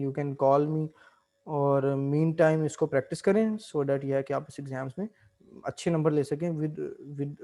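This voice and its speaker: A man, explaining spreadsheet tasks step by step as an instructor, mixing in English terms. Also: a little high. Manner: mixes English terms into explanations, steady teaching pace. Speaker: Excel instructor. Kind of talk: screen-recorded software tutorial.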